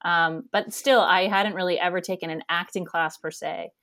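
Casting note: Canadian woman in her thirties, interview guest, loud nasal voice, chatty and rambling, lower voice. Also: fairly even intonation, normal speed. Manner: chatty, rambling, laughs often. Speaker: guest, theater education director. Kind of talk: casual interview conversation.

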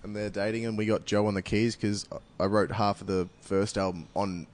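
A man's voice: on the low side, level tone, brisk, slight background noise. An Australian man in a band, a guest on a podcast, recounting how the band formed in an easygoing, joking way. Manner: laid-back, jokey. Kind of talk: casual interview chat.

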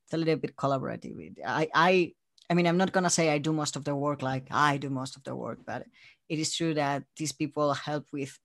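A man chatting casually as an interview guest, telling things in an animated way by quoting himself and others. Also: a little high, fairly quick. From Spain. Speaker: interview guest, audio drama creator. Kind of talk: casual chat.

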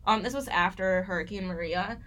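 Young woman co-host, bright and forceful tone, earnest student-style explainer. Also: mid-range voice, brisk. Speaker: podcast co-host. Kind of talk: casual scripted discussion.

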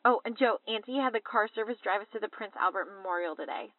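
A woman's voice: mid-range voice, fast, no room sound. Casting: bubbly young American sister, chatty and girlish, dry close sound squeezed through a phone, for audio drama. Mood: excited, happy. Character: gushing young American woman travelling in London, chatty little sister. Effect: phone voicemail filter. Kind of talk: audio drama, casual voice message. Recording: tinny phone-like line.

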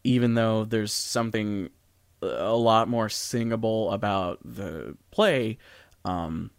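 Low-pitched man chatting in a dry space. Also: slow, creaky, clear, resonant tone, dry recording. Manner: informal, hesitant, thinking aloud. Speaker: podcast co-host. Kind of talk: casual discussion of music and theatre.

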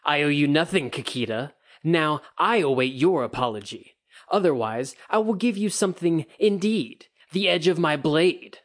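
A man's voice: medium pitch, moderate pace, hardly any pauses, close to an everyday voice. A man voicing a proud, drunk samurai who growls haughty threats.

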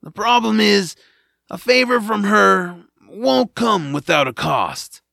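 A man's voice: sits high, lively intonation, slow, creaky, pushed and bright, no room sound, studio quality, exaggerated voice. A man acting a grumpy, sarcastic swamp creature who likes his naps, in an audio drama. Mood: grumbling. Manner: blunt, sarcastic, grumbling. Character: grumpy nap-loving male swamp creature, gruff reluctant rescuer.

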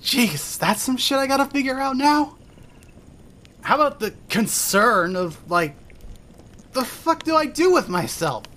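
Woman acting a bitter, rambling young woman, swinging pitch. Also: sing-song, measured pace, pauses often, fairly bright tone, full character voice. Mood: bitterly indignant. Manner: rambling, sweary, self-deprecating. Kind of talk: fandub audio drama, first-person monologue.

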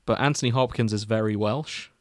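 A man's voice: fairly low pitch, a little fast, no room sound. Amused man reading fiction, dry sound. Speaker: host reading fan fiction aloud. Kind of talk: comic story narration with casual banter.

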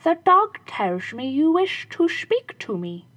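A woman voicing a cunning shoemaker in a fairy tale, speaking loudly and deliberately. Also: high voice, big pitch swings, no echo, voice pushed up, full character voice. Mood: sly. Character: cunning village shoemaker, grown man, sly.

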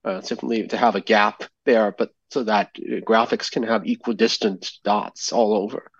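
A man's voice: on the low side, steady tone, moderate pace, breathy edge, no room sound. A man calling in to a show, asking questions hesitantly with many fillers and restarts, heard over muffled call audio. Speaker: caller.

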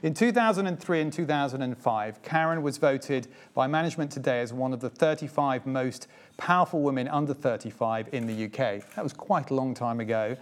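A man's voice: mid-range voice, brisk. A man hosting an evening talk at a museum, formally introducing his guest from notes and then interviewing her. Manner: formal, reads from notes, gently humorous. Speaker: host of a talk event. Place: museum event space before an audience.

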